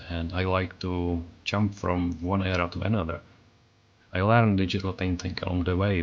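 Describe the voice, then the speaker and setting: A man's voice: sits low, dull audio. A man with a Czech accent, whose first language is Czech, narrating a scripted voice-over as an artist in an earnest, reflective, self-critical way. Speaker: artist and channel creator.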